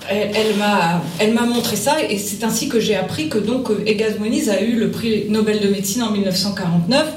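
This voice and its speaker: A woman's voice: medium pitch, a little fast. A woman author answering interview questions, speaking hesitantly with many fillers. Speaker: author guest. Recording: roomy and distant with faint noise.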